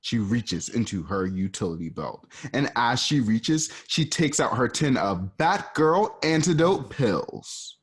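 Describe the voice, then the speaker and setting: A man's voice: medium pitch, very animated, a little slow, hardly any pauses, slightly rough. A man narrating stage directions for a home radio play, casual and offhand. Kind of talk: reading stage directions aloud.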